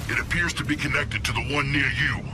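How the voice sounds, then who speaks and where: An actor playing an aggressive, boastful alien Brute commander in a video game, with a commanding manner. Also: male voice, medium pitch, fairly quick, background noise, close to an everyday voice. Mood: confident, businesslike. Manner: boastful, battle-hungry, commanding. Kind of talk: dramatic video game acting.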